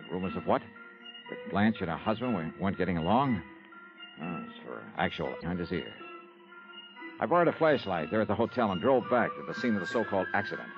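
An American actor playing a shrewd, smooth-talking private investigator in a vintage radio drama, wry and musing. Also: male voice, fairly low pitch, lightly acted. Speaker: lead actor and narrator. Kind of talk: 1950s-60s radio detective drama acting. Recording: dull vintage radio recording.

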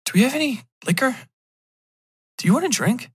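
A man's voice: very high-pitched, lively intonation, fairly quick, fairly bright tone, breathy edge, voice pushed up, exaggerated voice. A man voicing a worried, exasperated girlfriend who pleads and snaps in an improvised story. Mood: alarmed.

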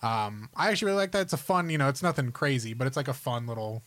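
A man's voice: mid-range voice, lively intonation, very fast, a bit bright, no room sound. A man hosting a podcast in a casual, conversational way. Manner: informal, conversational. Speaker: podcast host.

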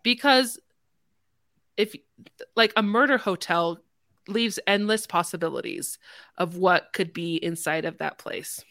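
Bright-voiced woman podcast host. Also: on the low side, measured pace, long pauses, no room sound, clean studio sound. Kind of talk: casual podcast chat.

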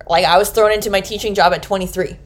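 A woman's voice: medium pitch, fairly even intonation, quick pace. A woman in her late twenties, a teacher, chatting casually, laughing often and swearing freely. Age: 20s. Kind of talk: casual chat.

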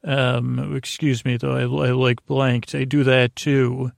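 Man, heavy vocal fry, meandering bedtime podcast monologue, hesitant, drifting into tangents, dry close recording. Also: mid-range voice, little pitch movement, slow, no echo. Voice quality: gravelly, creaky. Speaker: sleep podcast host.

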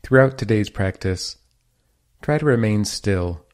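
A man leading a guided meditation as host, giving gentle, measured instructions with pauses between phrases. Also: on the low side, expressive.

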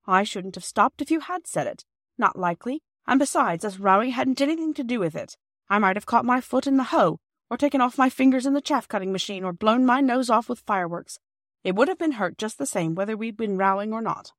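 A woman voicing a gruff, brusque young boy who brushes off his sister's fretting. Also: on the high side, animated, fairly quick, dry recording, studio quality, voice pushed up, close to an everyday voice. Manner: gruff and dismissive.

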